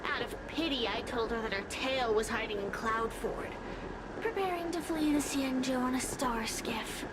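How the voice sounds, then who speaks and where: A woman playing a sly, gleefully cruel spirit villain in a video game, taunting theatrically with breathy dips into whispers. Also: a little high, moderate pace, very noisy, natural voice. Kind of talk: video game cutscene acting.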